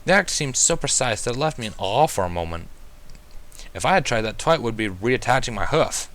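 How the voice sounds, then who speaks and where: A man's voice: mid-range voice, big pitch swings, a little fast, fairly bright tone. A man narrating a first-person fan fiction story as a reader.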